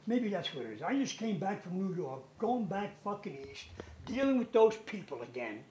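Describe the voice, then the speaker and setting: A man's voice: sits high, big pitch swings, moderate pace, mellow, reverberant, slight background noise. An actor playing a tired older male mobster who talks in a rambling, defensive way.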